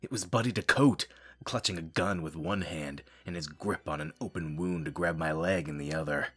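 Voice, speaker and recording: A man's voice: sits low, moderate pace, a bit bright, breathy edge. A man playing a grim, wry space marine who narrates his battles in a sardonic, hard-boiled audio drama style. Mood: grave, ominous. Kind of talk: scripted first-person audio drama narration.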